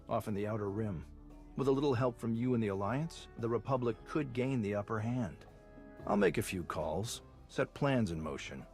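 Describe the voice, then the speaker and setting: An actor playing a suave, flirtatious Republic spy in a video game, smooth-talking and casual. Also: male voice, fairly low pitch, lightly acted. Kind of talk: video game cutscene acting.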